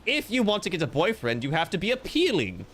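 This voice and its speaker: A man's voice: sits high, wildly swooping pitch, fairly quick, fairly bright tone, slight background noise. A man streaming live, reading out viewer comments in a playful, theatrical way with mock-grandiose joking. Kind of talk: casual livestream chat reading viewer comments.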